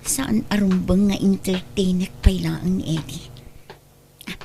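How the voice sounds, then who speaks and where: A woman's voice: on the low side, steady tone, unhurried, warm tone. An actress with an Ilocano Filipino accent playing an anxious, polite but firm married woman in a radio drama. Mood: polite, uneasy. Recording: clear background noise and ambience.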